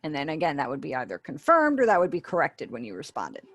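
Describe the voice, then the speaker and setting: A woman with a fairly low voice teaches a beginner sign language class over a webinar call. She explains patiently and voices sample phrases as she goes. The sound is dry and close, with no room around it. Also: huge pitch swings, quick pace, fairly bright tone, dry recording. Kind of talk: online sign language lesson. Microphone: webinar video call.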